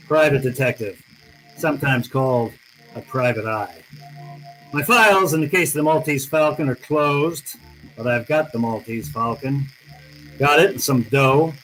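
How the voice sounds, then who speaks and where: A world-weary American private detective, acted by a man in an old-time radio noir. He talks in tough slang with a wry edge, at a medium pitch. There is clear background noise on the recording. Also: fairly bright tone, lightly acted, rough recording. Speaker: actor narrating and playing the lead.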